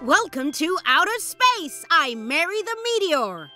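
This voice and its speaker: Very high, cheery cartoon meteor. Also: female voice, big pitch swings, unhurried, sharp tone, faint music behind, exaggerated voice. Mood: cheery, presenting. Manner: theatrical, presentational tour-guide delivery. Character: small, cheery female meteor tour guide. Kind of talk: children's educational cartoon acting.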